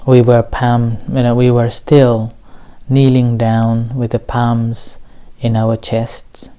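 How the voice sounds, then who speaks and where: Very slow, mellow male meditation teacher. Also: medium pitch, monotone, clear, resonant tone. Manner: slow, gentle, with long pauses between short phrases. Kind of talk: guided meditation dharma talk.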